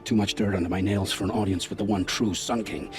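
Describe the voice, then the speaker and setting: A man playing a guilt-ridden scavenger scout in a video game, speaking gravely and with haunted, poetic phrasing. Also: lowish voice, steady tone, quick pace, a little mellow, lightly acted. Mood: bitter, regretful. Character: guilt-ridden male scavenger scout, forced informant, begging for family. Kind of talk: dramatic video game acting. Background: background music.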